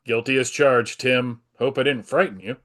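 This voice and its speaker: Heroic adult male lead, read by a man: earnest, reassuring, expository, mid-pitched, for a comic table read. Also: fairly even intonation, normal speed, a bit bright, natural voice.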